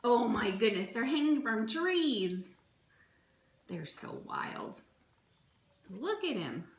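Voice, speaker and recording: A woman reading a children's picture book aloud in a dramatic, expressive and deliberate way. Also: fairly high pitch. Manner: expressive, deliberate, with exclaimed asides. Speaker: storybook reader for children. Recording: roomy echo, faint background noise.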